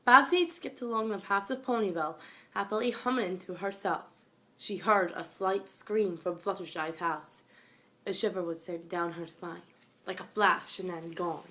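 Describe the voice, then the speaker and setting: A woman's voice: on the low side, normal speed, fairly bright tone, a little hiss or hum. A young woman giving an amateur reading of a fan fiction story, narrating into a phone. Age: young, school age.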